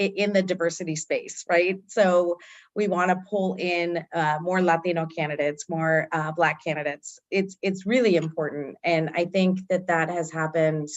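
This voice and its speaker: A woman's voice: lowish voice, lo-fi sound. A woman who works as a hiring manager, speaking plainly and directly as a panelist. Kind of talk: panel discussion.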